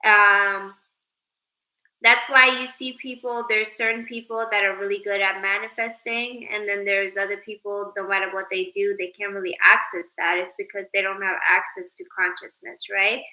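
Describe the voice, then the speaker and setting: A woman's voice: medium pitch, level tone, moderate pace, pushed and bright, echoey room, low-quality recording. A woman from Sweden hosting a casual livestream, greeting viewers by name and musing about consciousness. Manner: chatty, reads viewer names aloud, drifts into spiritual musing. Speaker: livestream host. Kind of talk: casual livestream chat reading comments.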